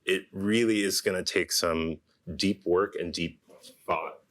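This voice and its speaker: A man moderating a lecture Q&A, asking thoughtful questions at a measured pace. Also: low voice, fairly even intonation, fairly bright tone. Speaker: moderator, urban planner.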